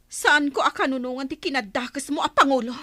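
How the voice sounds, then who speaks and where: Woman acting a high-voiced, stern chief's daughter. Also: brisk, bright, forceful tone, no echo. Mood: reproachful. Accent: Filipino. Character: stern, principled chieftain's daughter, young tribeswoman. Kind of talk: Filipino radio drama acting.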